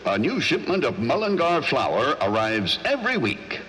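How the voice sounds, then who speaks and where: A man's voice: medium pitch, wildly swooping pitch, moderate pace, bright, forceful tone. An American man announcing news and adverts in polished, emphatic 1940s radio style. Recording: reverberant old-time radio sound.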